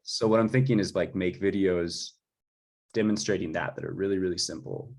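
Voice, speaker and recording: A man in an informal chat about music production, tentatively working through his ideas out loud. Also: low-pitched, fairly quick. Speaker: composer for audio drama.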